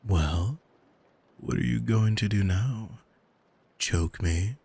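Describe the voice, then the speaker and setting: A man playing an arrogant, manipulative demon in an ASMR roleplay, coaxing in a smug, soothing, hypnotic manner with a breathy, husky voice. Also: fairly low pitch, darkish tone, exaggerated voice. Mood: smug, taunting. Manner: smug, deliberate, soothing, commanding. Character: arrogant male demon, soul-owning manipulator, calm and seductive.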